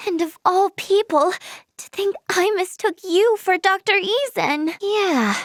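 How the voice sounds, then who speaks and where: High, girlish, bubbly young healer. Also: unhurried, no echo, studio quality. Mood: flustered, embarrassed. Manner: bubbly and girlish, stammering when flustered. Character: cheerful, earnest, easily flustered young female healer. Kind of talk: animated video game voice acting.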